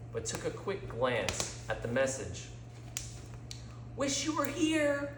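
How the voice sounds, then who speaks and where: A man reading a scripted story as a storyteller, with a dramatic, deliberate delivery. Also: lowish voice, a little hiss or hum. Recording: echoey room.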